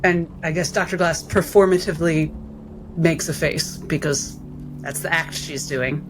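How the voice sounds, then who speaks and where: A woman's voice: low voice, fairly even intonation, a little slow, low-quality recording. Woman player narrating over music. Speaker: tabletop game player. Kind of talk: narrating own character's actions in a role-playing game.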